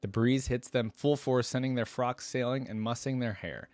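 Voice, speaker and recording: A man's voice: medium pitch, normal speed, a little mellow, dry recording. A man reading a story aloud as a reaction host, with informal, slangy asides, recorded close on a microphone.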